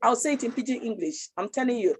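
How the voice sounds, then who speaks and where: A woman's voice: fairly high pitch, animated, fast, slightly rough. A Nigerian woman speaking with a Nigerian accent in a casual talk show, passionate and emphatic, often repeating herself. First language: Nigerian language.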